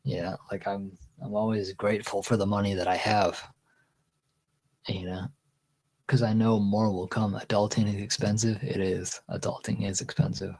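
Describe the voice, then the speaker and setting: A Texan-accented man hosting a relaxed livestream chat, talking in a somewhat low chest voice. He reads viewers' comments aloud and wanders through his answers with easygoing restarts. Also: moderate pace, husky, low-quality recording. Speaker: livestream host.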